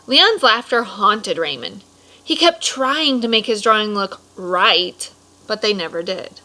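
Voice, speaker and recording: A woman reading a children's picture book aloud expressively, pausing for casual explanatory asides to young listeners. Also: medium pitch, expressive, bright, forceful tone, low-quality recording. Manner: explanatory, stops to clarify words for children. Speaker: read-aloud storyteller.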